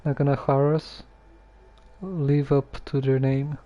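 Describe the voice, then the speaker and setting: A man streaming a game casually, hesitant and halting as he talks and reads text aloud. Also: medium pitch, flat delivery, dark tone. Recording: muffled lo-fi microphone.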